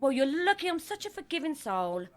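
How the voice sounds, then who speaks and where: An actress playing a brassy, sharp-tongued Victorian tavern madam in a stage drama, bossy and brash. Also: female voice, high-pitched, very animated, fairly quick. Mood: scolding. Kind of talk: theatrical stage drama acting.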